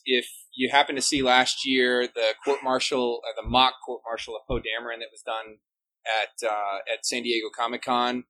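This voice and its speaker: A man's voice: medium pitch, pushed and bright, poor audio. A man moderating a fan convention panel, joking and often laughing as he talks.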